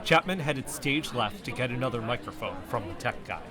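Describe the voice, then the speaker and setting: A man's voice: mid-range voice, animated, fairly quick, over loud music, natural voice. An actor playing an earnest, driven young man, narrating in the first person with intense, suspenseful storytelling. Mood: intense, searching.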